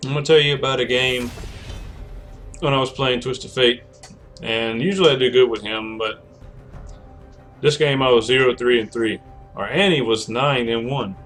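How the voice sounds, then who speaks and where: A man's voice: medium pitch, moderate pace, long pauses, fairly bright tone, soft background music. A man streaming a video game, chatting casually and rambling with many self-corrections, his voice cracking from a cold. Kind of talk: casual gameplay commentary.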